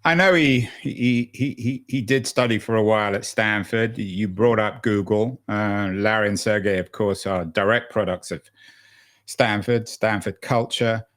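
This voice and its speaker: Low-voiced male host asking halting questions. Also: a bit bright. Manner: halting, repeats words while framing questions. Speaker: podcast host.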